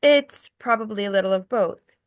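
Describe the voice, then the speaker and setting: A woman playing an earnest, scholarly tour guide. Her voice is medium in pitch with a dry, close sound, and she delivers a reverent monologue as at a script reading. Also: normal speed, no echo, natural voice. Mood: earnest, reflective. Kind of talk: table read monologue.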